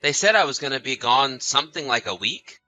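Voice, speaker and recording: A man's voice: medium pitch, lively intonation, a little fast, bright, forceful tone, soft background music, rough recording. A man playing an easygoing, sociable husband in chatty, casual audio drama dialogue. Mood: apologetic, reassuring. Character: easygoing husband, sociable, placating, mildly defensive.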